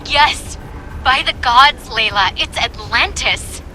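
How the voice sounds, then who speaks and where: An actress playing an eager, bookish researcher on a support team in a video game. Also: female voice, on the high side, takes it slowly, a little hiss or hum, low-quality recording. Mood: excited. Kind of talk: video game cutscene acting. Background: loud background music.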